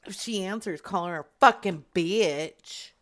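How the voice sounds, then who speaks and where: A woman's voice: on the low side, a little slow. A woman making prank calls in casual, crude, teasing banter. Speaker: prank caller.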